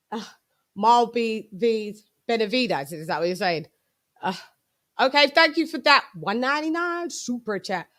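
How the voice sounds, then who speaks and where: A British woman hosting a live boxing stream, chatting casually in an effusive, slangy way with shout-outs. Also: fairly high pitch.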